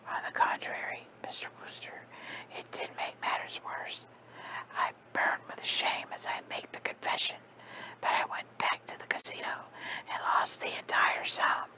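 A woman voicing a stiffly formal, mournful young male curate in a soft whispered reading. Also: unhurried, poor audio. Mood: ashamed. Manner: whispered, formal and long-winded. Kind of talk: ASMR storybook reading.

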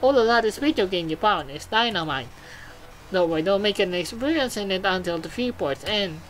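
A woman's voice: mid-range voice, animated, normal speed, lightly acted, rough recording. A woman voicing a vain, gushing showbiz robot who presents TV news in a video game, with theatrical announcer phrasing. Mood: excited, showy.

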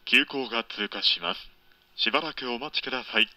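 A man's voice: mid-range voice, fairly quick, pushed and bright, no echo. A man giving polite, formal scripted service announcements as a train announcer.

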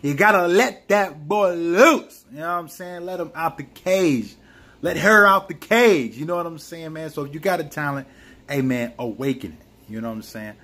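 Young guy vlogging at home, kinda husky, a bit high for a guy, earnest pep talk into his phone. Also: normal speed. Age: young adult. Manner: earnest, animated, lots of "man" and "you know what I'm saying". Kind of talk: motivational vlog, casual talk. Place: small room at home, bookshelf behind. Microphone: camera close to face, webcam or phone.

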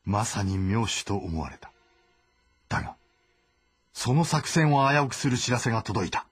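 A man giving scripted, measured and grave narration for a historical story game. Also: medium pitch, animated, husky, faint music behind.

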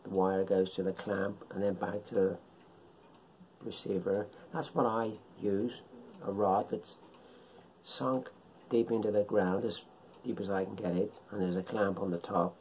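A middle-aged man giving an informal tutorial in short, halting phrases, speaking at home into a camera microphone. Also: fairly low pitch, level tone, darkish tone, slight background noise, poor audio. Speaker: amateur radio hobbyist presenter. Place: indoors, living room at home.

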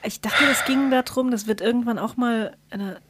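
A woman's voice: a little high, brisk, heavy background noise. A woman speaking casually as a podcast co-host, retelling things in a colloquial way. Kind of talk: casual chat.

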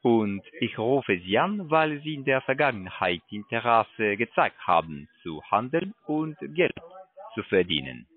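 A man cold-calling as a sales agent, giving a scripted pitch over the phone. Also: big pitch swings, unhurried. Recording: muffled narrow phone line.

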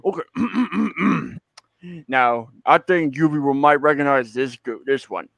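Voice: mid-range voice, slow, gravelly, fairly bright tone, dry recording. A man chatting casually on a video call during a guessing game of impressions. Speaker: guest in an online puppet show.

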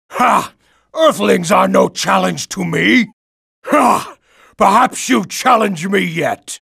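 Gravelly, high-pitched brutish alien racer. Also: male voice, a bit bright, no room sound, studio quality, exaggerated voice. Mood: taunting. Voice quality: gravelly, raspy. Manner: broken English, taunting, bellowing. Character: brutish, boastful, hot-tempered alien ape-like kart racer. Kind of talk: exaggerated cartoon video game voice acting.

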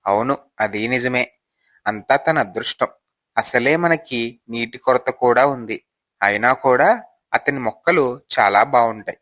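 A man's voice: medium pitch, a little slow, fairly bright tone, no echo, natural voice. A man playing an envious, brooding village husband who gardens, in a moral storybook tale. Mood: resentful, dismissive.

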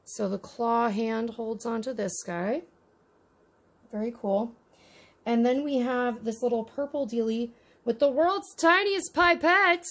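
A woman with a fairly high voice and plenty of vocal fry hosts a casual unboxing. She talks in an informal, gushing way, easily delighted by small things. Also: takes it slowly, a bit bright. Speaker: host of a miniature toy unboxing.

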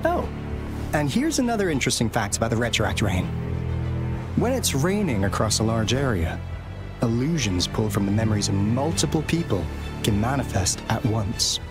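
Actor as knowing young male game informant, mid pitch, theatrical, swinging intonation, music underneath. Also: very animated, moderate pace, natural voice. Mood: intrigued, explaining. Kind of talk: video game character dialogue.

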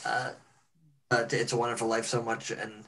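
A man's voice: mid-range voice, monotone, moderate pace, a bit bright, low-quality recording. A young man, an educator, talking casually to a group over a video call. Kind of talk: casual panel talk. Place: indoor room on a video call.

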